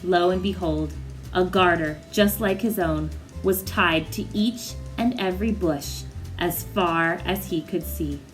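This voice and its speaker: A woman storyteller reading a picture book aloud to children in an expressive, animated way. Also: fairly low pitch, a little slow, faint music behind.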